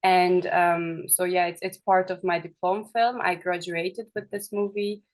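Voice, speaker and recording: Young woman, from Kosovo, film director, hesitant, lowish voice, level delivery. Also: little pitch movement, measured pace. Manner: hesitant, many fillers and restarts. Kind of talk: festival interview.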